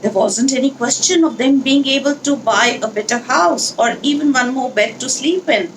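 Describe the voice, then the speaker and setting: Woman, slightly high pitch, children's reading teacher, instructional storytelling, deliberate pauses, somewhat noisy recording. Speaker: teacher leading a children's read-along. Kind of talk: instructional talk and storybook read-aloud.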